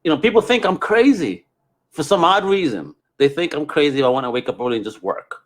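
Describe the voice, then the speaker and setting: Guy podcast host, super enthusiastic, pitch jumps all over, chatty and loose. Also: expressive, fast. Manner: enthusiastic, effusive, conversational. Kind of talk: casual podcast chat.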